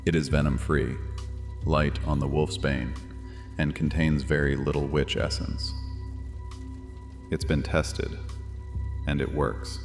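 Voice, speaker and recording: A scheming high priest played by a man speaks in a very deep, theatrical voice. He is sardonic and insistent, a persuasive fantasy audio drama villain echoing through a long reverb with music underneath. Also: flat delivery, slow pace, pauses often, full character voice. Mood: smooth pitching.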